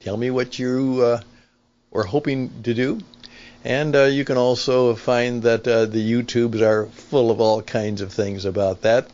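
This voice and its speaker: An older man hosting a talk show with a conversational opinion monologue, speaking in an emphatic, deliberate, explanatory way. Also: mid-range voice, steady tone, darkish tone, lo-fi sound. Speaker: podcast host and veteran broadcaster.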